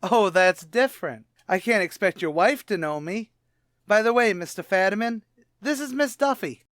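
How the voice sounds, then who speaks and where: A brash tavern manager acted by a man cracks wise in 1940s radio comedy style. His pitch swoops freely as he mixes streetwise slang with puffed-up, would-be elegant words. The sound is dry and close. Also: animated, moderate pace, a bit bright, no room sound. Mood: brash, chummy. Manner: streetwise slang mixed with pompous affected words. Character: brash wisecracking tavern manager man, mock-genteel malapropist.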